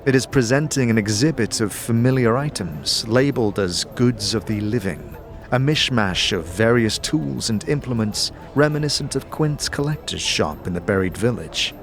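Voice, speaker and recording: A man's voice: medium pitch, expressive, normal speed. A man giving scripted fantasy narration as a game master, in a descriptive storytelling manner.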